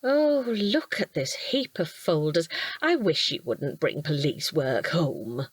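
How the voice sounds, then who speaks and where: A woman playing a cheerful middle-aged British housewife who loves Christmas, chatty and gently chiding, in an audio drama. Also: deep voice, lively intonation, takes it slowly, slightly rough, no echo, full character voice.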